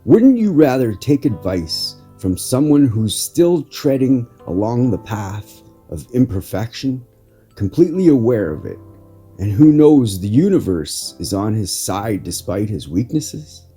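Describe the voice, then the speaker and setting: Mellow, dark-voiced man reading inspirational words over music. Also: fairly low pitch, big pitch swings, a little slow, husky. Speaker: podcast host reading inspirational articles. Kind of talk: inspirational spoken article reading.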